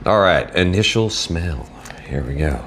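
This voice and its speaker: A man with a Southern American accent casually reviewing food on camera in a relaxed, rambling way. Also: low voice, measured pace, noisy background. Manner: laid-back, rambling, self-deprecating. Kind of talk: casual solo video review.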